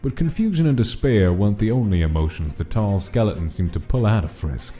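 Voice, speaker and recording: A man's voice: fairly low pitch, expressive, fairly quick, warm tone. A man reading a scripted, dramatic story as its narrator. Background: background music.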